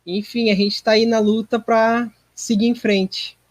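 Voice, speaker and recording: A man's voice: high-pitched, flat delivery, unhurried, no echo. A man, talking informally and reflectively as an interview guest. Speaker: performing artist, interview guest. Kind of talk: casual reflective interview talk.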